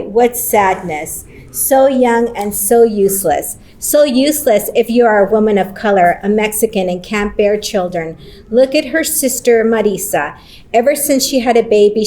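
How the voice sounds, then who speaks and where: A woman at a conference roundtable reads her own short story aloud at a middling pitch. She takes it slowly and deliberately, slipping Spanish phrases into her English. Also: a little mellow. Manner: slow, deliberate reading with Spanish phrases woven in. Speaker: panelist.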